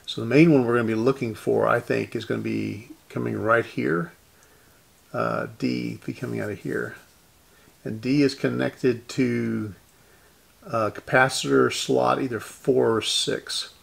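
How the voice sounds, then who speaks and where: A man's voice: medium pitch, fairly even intonation, measured pace, gravelly, slightly rough. A man narrating a hands-on repair in a casual, methodical way, thinking aloud as he works. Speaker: host of a radio repair channel.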